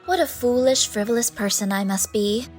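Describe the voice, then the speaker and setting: A woman's voice: on the high side, normal speed, natural voice. A woman playing a sentimental, self-chiding genteel maiden lady in a dramatized audiobook, wordy and sighing. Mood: rueful delight. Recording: music under the voice.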